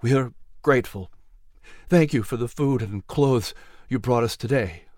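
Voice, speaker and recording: A man voicing a quiet, subdued young man in a storybook reading. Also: mid-range voice, a little slow, dry recording, clean studio sound, natural voice. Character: grieving, quiet young man rebuilding his home.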